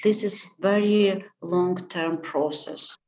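A woman with a Ukrainian accent answering interview questions about her school, thoughtful and halting, often restarting phrases. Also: on the low side, a little mellow. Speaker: architecture school head.

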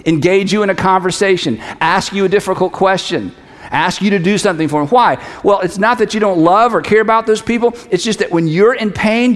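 A middle-aged man giving a conversational sermon as a pastor, speaking emphatically and repeating key phrases. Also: high voice. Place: large hall, preaching to an audience. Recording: reverberant large hall.

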